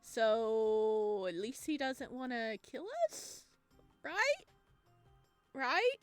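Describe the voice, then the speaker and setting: Girl gamer reading a visual novel out loud, chatty n expressive, slow pace, dry mic, soft music behind. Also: a little high, no room sound. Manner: chatty, expressive asides between read lines. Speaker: video game streamer, let's player. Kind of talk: let's play, reading game text aloud with excited reactions. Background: game music.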